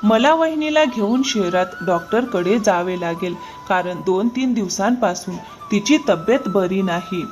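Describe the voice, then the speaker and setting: A woman's voice: medium pitch, expressive, a little slow, few pauses, lightly acted. Cartoon farmer, male, played by a woman: sly, ingratiating false friend, medium register, light music bed. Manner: ingratiating, excuse-making. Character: scheming, smooth-talking male farmer feigning friendship. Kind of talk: cartoon moral-story dialogue.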